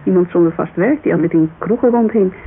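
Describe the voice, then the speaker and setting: A woman's voice: fairly low pitch, brisk, natural voice. An actress playing a guarded, candid agency owner in her thirties in a naturalistic Dutch radio drama, speaking colloquially and searching for words. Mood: reflective, candid. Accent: Dutch, Netherlands. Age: 30s. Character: thirty-three-year-old Amsterdam model agency owner, guarded, wistful, candid. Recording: narrow, hissy old radio recording.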